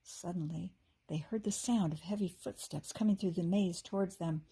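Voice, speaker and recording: An American woman, a teacher, reads a children's book aloud in an animated, expressive way, acting out the dialogue. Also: fairly low pitch, normal speed, slightly rough. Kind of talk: expressive storybook narration.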